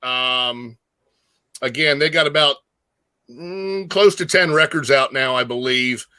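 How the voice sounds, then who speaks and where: Enthusiastic man with a bright, forceful voice. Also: mid-range voice, smooth, dry recording. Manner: enthusiastic, halting, slangy. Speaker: guest co-host, metal fan. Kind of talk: casual music chat.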